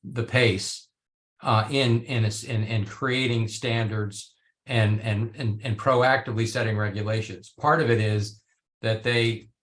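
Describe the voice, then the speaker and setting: Man panelist, low voice, grew up in Belgium and Italy; measured, filler-heavy call speech. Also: fairly even intonation, fairly bright tone. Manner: measured, restarting often with fillers. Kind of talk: virtual panel discussion. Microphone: video call.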